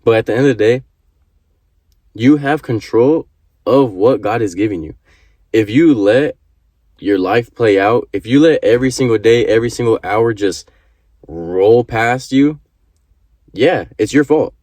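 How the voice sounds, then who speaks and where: A man's voice: medium pitch, animated, a little slow, pauses often, darkish tone, no echo. A man in his early twenties giving an earnest motivational talk to camera, repeating his points and swearing casually. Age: 20s. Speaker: vlogger.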